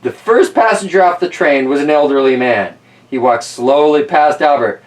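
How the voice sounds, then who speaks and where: A man's voice: fairly high pitch, moderate pace, recorded from a distance. A man reading a children's story aloud, with casual, folksy, rambling asides as host.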